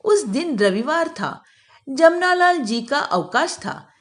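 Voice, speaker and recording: Slow, high-voiced woman storyteller. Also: sing-song. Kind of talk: scripted narration of children's moral story.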